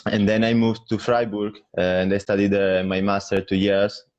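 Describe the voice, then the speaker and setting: A young man from southern Italy with an Italian accent, answering interview questions in a modest, relaxed way over a video call. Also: on the low side, moderate pace. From Calabria, southern Italy. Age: young adult. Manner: modest, relaxed, leans on "I mean" and "yeah". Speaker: guest, bassoonist. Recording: dull lo-fi video call audio.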